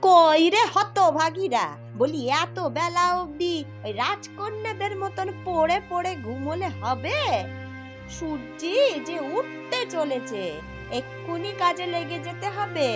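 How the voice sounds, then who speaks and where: A woman voicing a crabby, bossy old village granny in a fairy-tale cartoon, scolding and suspicious with drawn-out words. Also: very high voice, expressive, over music, heavily acted. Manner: scolding, suspicious, grudging, drawling.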